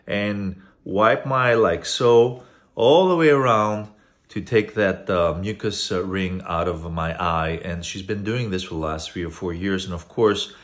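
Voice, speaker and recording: Surgeon, low voice, echoey office. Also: male voice. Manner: loose, thinking aloud with many fillers. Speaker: eye surgeon. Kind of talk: informal clinical case video. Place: eye clinic office, indoors. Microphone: phone camera at arm's length.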